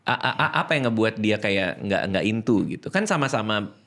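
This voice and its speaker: A man with a Jakarta accent hosting a casual talk show, asking questions eagerly and reacting often with excitement. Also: lowish voice. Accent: Jakarta Indonesian. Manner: animated, eager, rapid interjections. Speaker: host. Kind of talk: casual chat.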